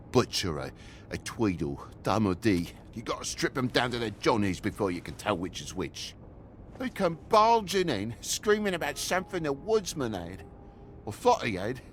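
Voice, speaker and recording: An actor with a British accent voicing an aggrieved, slangy middle-aged toad, a shifty dad in a video game, whining and indignant. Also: male voice, mid-range voice, big pitch swings, a little slow, fairly bright tone, a little hiss or hum. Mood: bitter, aggrieved. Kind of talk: dramatic video game dialogue.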